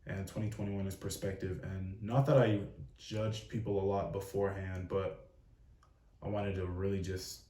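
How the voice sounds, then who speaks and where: A twenty-year-old man interviewing his friends, speaking in a measured, careful way. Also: sits low, dark tone. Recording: roomy, distant mic.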